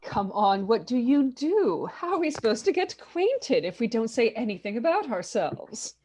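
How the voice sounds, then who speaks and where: A woman acting a chatty, nervous society hostess in a comic script read. Her voice is fairly high and swoops animatedly, with a husky edge. She gushes and flusters like someone desperate to keep conversation going. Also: sing-song, mellow. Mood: persistent, prim. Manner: gushing, flustered, slightly hysterical rapid chatter. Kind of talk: live table read of a comic film script.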